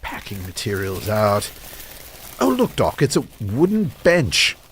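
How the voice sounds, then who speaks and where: A man's voice: fairly low pitch, big pitch swings, unhurried, a little hiss or hum. An actor playing a genteel, curious man with a polite, slightly prim and precise manner in an improvised radio comedy. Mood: curious, marveling. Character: genteel, curious, refined coffee roaster man.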